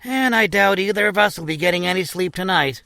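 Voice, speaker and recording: A gruff, blunt senior police officer in an audio drama. His voice is sharp and forceful, sitting a little high for a man. He is brusque and prejudiced, recorded close and dry. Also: animated, a little fast, dry recording, full character voice. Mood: grim, weary.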